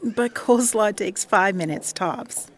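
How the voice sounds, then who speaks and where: An actress playing a gentle, peacemaking young woman in grief, in an audio drama. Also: mid-range voice, measured pace, natural voice. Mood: mild, peacemaking. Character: gentle peacemaking young woman Polly, grieving her sister.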